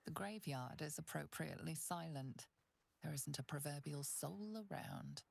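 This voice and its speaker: A woman's voice: low voice, moderate pace, vocal fry, darkish tone, slightly rough, full character voice. An actress playing a dry, understated female adventurer in a video game. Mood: dry, hushed.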